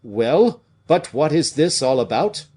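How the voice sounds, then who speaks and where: A man's voice: fairly high pitch, sing-song, takes it slowly, darkish tone, breathy edge, voice pushed up, heavily acted. Man voicing a curt, imperious king. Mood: curt, demanding. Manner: commanding, haughty. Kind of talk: dramatic audiobook character reading.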